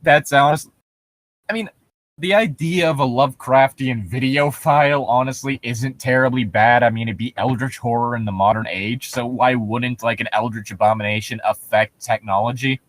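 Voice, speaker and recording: A man's voice: mid-range voice, normal speed, no room sound. A man co-hosting a comedic podcast, chatting casually and reading aloud with a sardonic, profane manner. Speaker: podcast co-host. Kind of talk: casual comedic chat while reading horror stories aloud.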